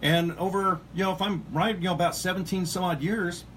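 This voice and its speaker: A man's voice: sits high, steady tone, a little fast, a bit bright, a little hiss or hum, low-quality recording. A man hosting a casual radio interview, talking in a rambling, chatty way with lots of fillers. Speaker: radio host.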